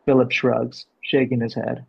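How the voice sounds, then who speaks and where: A man working as a director, explaining and reading out a script, with a gravelly, husky voice. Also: mid-range voice, level tone, a little slow. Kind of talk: explanatory coaching and script narration. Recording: dull, lo-fi sound.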